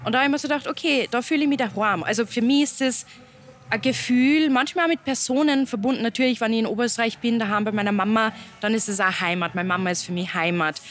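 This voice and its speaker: A young woman from Upper Austria with an Upper Austrian accent, speaking as a musician guest in a relaxed interview, chatty and loose. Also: a little high, quick pace, slight background noise. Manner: chatty, loose, laughs between thoughts.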